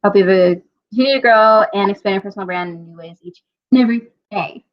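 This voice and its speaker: A young woman hosting a casual interview, chatty, teasing and quick to laugh. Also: mid-range voice. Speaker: interview host. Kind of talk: casual video-call interview. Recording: muffled lo-fi video call audio.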